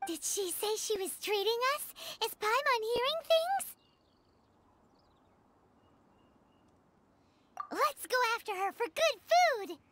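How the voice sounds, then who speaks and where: A woman's voice: very high-pitched, noisy background. A woman voicing a tiny, childlike, greedy fairy companion in a video game, talking about herself in a cutesy way. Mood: eager. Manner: refers to itself in the third person, cutesy. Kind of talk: video game character voice acting.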